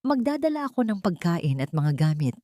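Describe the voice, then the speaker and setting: Wealthy, determined woman played by a female storyteller, calm measured delivery, low voice, audio drama. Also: no echo, clean studio sound. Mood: firm. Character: wealthy, determined woman speaking gently but firmly.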